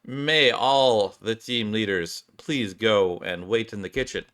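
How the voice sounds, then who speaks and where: A commanding contest judge in a video game, voiced by a man reading along. The delivery is formal and announcing, with a bright, forceful edge. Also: on the low side, takes it slowly.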